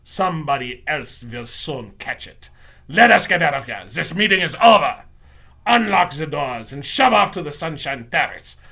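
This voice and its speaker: A man voicing a menacing, imperious female witch leader in a storybook, with commanding, drawn-out delivery. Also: mid-range voice, expressive, creaky, pushed and bright, heavily acted. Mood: contemptuous, commanding.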